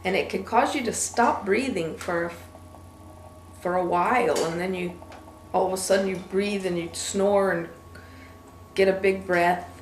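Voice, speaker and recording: A woman's voice: medium pitch, unhurried, long pauses. A woman explaining something informally, in everyday words. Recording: roomy echo, faint background noise.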